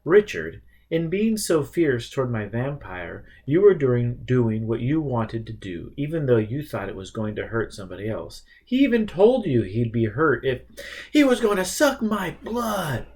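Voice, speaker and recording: A man voicing a calm, patient male teacher in an audiobook, reasoning and explaining with a gentle, teasing touch. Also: medium pitch, measured pace, breathy edge, exaggerated voice.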